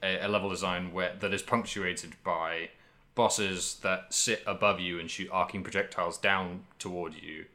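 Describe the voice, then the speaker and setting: A man's voice: low-pitched, fairly even intonation, normal speed, bright, forceful tone. A man co-hosting a podcast, talking casually about video games in a rambling way, as if thinking aloud. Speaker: podcast co-host. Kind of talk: casual video game discussion.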